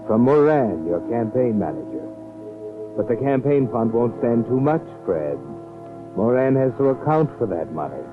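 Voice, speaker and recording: An actor playing a sinister, all-knowing, mockingly insinuating narrator in a 1940s radio mystery. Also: male voice, medium pitch, big pitch swings, moderate pace, close to an everyday voice. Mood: knowing, taunting. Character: sinister, all-knowing male narrator taunting a schemer in second person. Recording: tinny 1940s radio recording with music.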